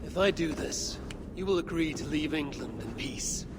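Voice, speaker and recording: A man's voice: fairly high pitch, animated, noisy background, natural voice. A man acting a stoic, blunt Norse warrior. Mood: terse, resolute. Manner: measured, terse, coolly defiant. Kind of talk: dramatic video game cutscene acting. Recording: loud dramatic music underneath. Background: game score music.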